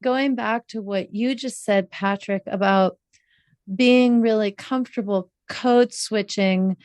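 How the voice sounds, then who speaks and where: Woman podcast host, talks real slow and careful, dry close sound. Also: mid-range voice, a little mellow, smooth, no room sound. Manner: measured, drawn-out phrasing. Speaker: podcast host, relationship coach. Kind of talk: slow, thoughtful interview questions.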